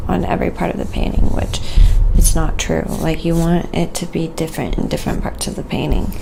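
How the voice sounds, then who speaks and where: A woman hosting a quiet ASMR painting livestream, giving an unhurried, murmured running commentary on her own work and chatting with viewers. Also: low-pitched, lively intonation, creaky, heavy background noise. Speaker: live-streaming painter and host.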